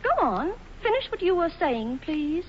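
Jealous, outspoken young woman, very high voice, pointed stage delivery, muffled vintage radio comedy. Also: sing-song, a little slow, heavily acted. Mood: teasing, scornful. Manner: pointed, theatrical. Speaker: radio drama actress. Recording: muffled, noisy 1940s radio broadcast.

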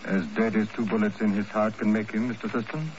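An actor playing a coolly courteous, formal military intelligence colonel in a 1950s radio drama. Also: male voice, fairly low pitch, fairly even intonation, close to an everyday voice. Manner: stiff, measured, formal. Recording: noisy, dull old radio recording.

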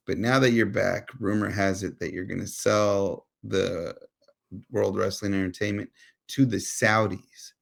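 The low-voiced American co-host on a comedy debate podcast, making wry, halting, sweary points with a creaky rasp of vocal fry. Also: male voice, steady tone.

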